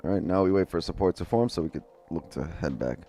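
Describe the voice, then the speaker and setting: A man's voice: deep voice, fast, dark tone. A man casually talking through trades on a live stream, chatting loosely with viewers and swearing now and then, on a headset mic. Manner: loose, profane, chatty, talks to chat. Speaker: day trading streamer. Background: faint music.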